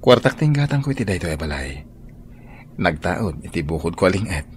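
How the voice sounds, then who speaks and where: A man's voice: low-pitched, very animated, brisk, a little hiss or hum. A man acting a young man who defies his mother for the woman he loves, earnest and pleading. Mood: tender. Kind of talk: radio drama acting.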